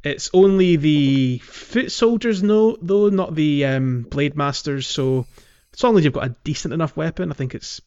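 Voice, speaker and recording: A man's voice: fairly high pitch, moderate pace, few pauses, a little mellow, clear, resonant tone, lo-fi sound. A man co-hosting a casual gaming podcast, explaining things in a hesitant way and checking for agreement. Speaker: podcast co-host.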